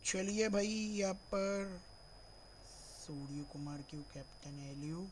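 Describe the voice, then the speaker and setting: A man from Mumbai streaming a cricket video game, slightly hoarse from a sore throat, thinking aloud and calling his viewers "bro" in nearly every phrase. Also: high-pitched, slow, noisy background. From Mumbai, India. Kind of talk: live gameplay stream chat.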